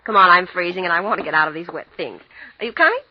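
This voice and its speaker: An actress playing a cold, impatient young wife with a brisk way of talking. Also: female voice, lowish voice, natural voice. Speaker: writer's wife. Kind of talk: old-time radio drama acting. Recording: dull old radio recording with faint clicks.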